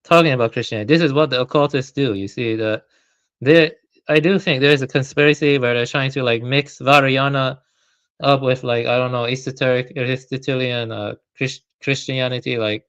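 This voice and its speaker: A man chats hesitantly on a live stream, thinking aloud and padding his sentences with fillers. His voice is mid-pitched and plain. The sound is dry and close, with no room echo. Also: steady tone, a little fast, a bit bright, smooth, lo-fi sound. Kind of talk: casual discussion.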